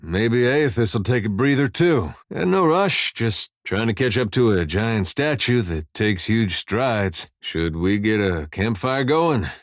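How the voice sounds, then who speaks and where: A man's voice: fairly low pitch, expressive, a little slow, few pauses, dry recording, clean studio sound. A man voicing an easygoing, wry farmer turned adventurer for a video game, with a folksy, drily humorous way of talking. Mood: dry, bored.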